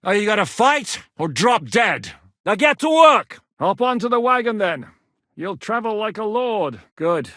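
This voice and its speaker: Blunt, cursing army commander; high, animated, bright tone. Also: male voice, a little slow, dry recording, clean studio sound, exaggerated voice. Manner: barking orders, coarse and blunt. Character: gruff battle-hardened male war commander. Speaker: military commander in a video game. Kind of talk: game voice lines.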